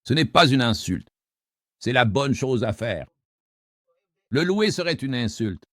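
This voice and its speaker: A man hosts a call-in show and argues hard with his callers, cutting in on them and pressing his points. His voice sits at an average pitch. The studio sound is dry and close. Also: very animated, unhurried, dry recording. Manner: forceful, argumentative, interrupts callers. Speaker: host. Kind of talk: call-in debate.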